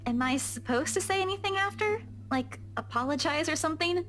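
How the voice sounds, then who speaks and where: A woman voicing a kind, supportive young friend in a visual novel, in a gentle, easygoing conversational manner. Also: high-pitched, lively intonation, a little fast, faint music behind. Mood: gently awkward.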